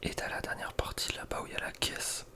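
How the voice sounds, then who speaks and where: A young man whispering casual ASMR gaming commentary in an informal, slangy, hesitant way. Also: fast. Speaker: ASMR video creator.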